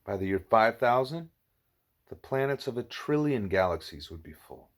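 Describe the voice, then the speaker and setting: A man reads a novel aloud in a measured, deliberate way, leaning on key phrases for emphasis. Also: medium pitch, animated. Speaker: audiobook reader.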